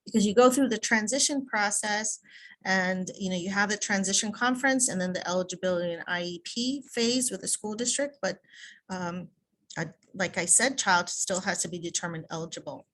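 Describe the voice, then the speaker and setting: A woman's voice: medium pitch, normal speed, fairly bright tone, slight background noise, low-quality recording. A woman presenting in a webinar, explaining options to families with occasional fillers. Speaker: early childhood transition presenter. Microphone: video call.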